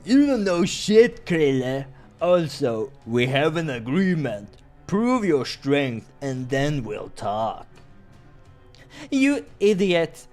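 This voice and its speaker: A man voicing a boisterous, combative male warrior from a visual novel, mocking his opponent with loud taunts. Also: on the high side, sing-song, slow, faint music behind, voice pushed up. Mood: angry.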